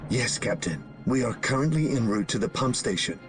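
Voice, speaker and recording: An actor playing a dutiful space marine scout in a science-fiction game, reporting to superiors in a clipped, deferential way. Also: male voice, medium pitch, expressive, normal speed, music in the background, close to an everyday voice. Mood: businesslike.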